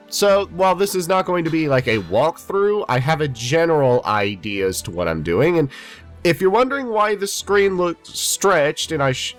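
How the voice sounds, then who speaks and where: A man in his twenties, stuffy from a head cold, giving rambling, chatty let's-play commentary. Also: medium pitch. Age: 20s. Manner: rambling, chatty, self-deprecating. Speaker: gaming YouTuber.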